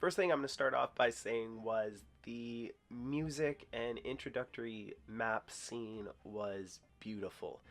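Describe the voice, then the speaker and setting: A man's voice: lowish voice, a bit bright. A young man with a stuffy voice from a cold, hosting his own gaming channel and giving an upbeat, self-deprecating first-impressions review.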